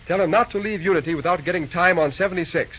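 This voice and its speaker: A man playing a brisk, busy railroad dispatcher in an old-time radio drama, talking in clipped, folksy railroad slang. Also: high-pitched. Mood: impatient, commanding. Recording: muffled, noisy old radio recording.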